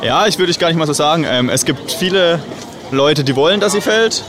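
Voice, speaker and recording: A man's voice: fairly high pitch, animated, fairly quick. A man in his twenties, a football fan explaining his initiative in an outdoor interview. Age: 20s. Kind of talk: interview answers. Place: outdoors at a crowded stadium fest. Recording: crowd noise behind, outdoors.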